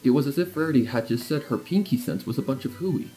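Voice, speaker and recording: A man narrating a scripted fan fiction story in a playful, expressive storytelling way. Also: medium pitch, fast, poor audio. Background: music.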